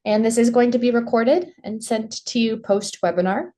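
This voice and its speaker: A woman's voice: fairly high pitch, moderate pace, warm tone. A woman hosting a business webinar over a video call, speaking in a friendly, casual way with frequent "um"s. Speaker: webinar host and head of product.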